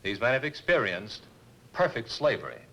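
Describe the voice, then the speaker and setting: A man's voice: medium pitch, big pitch swings, moderate pace, sharp tone, rough recording. A man, an army colonel, answering questions in a formal, assured manner in a scripted interview.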